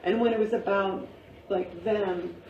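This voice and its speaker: A woman's voice: mid-range voice, steady tone, unhurried, far from the mic, slight background noise. Reflective woman in a muffled, echoey room. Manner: reflective, emphatic, musing aloud in questions. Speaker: audience member giving play feedback, teacher. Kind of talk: informal post-reading discussion.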